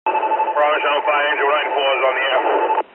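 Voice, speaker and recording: A man's voice: on the high side, little pitch movement, normal speed. A man working as a firefighter, giving terse emergency radio reports over a two-way radio. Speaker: firefighters on responding apparatus. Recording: very noisy, tinny scanner radio.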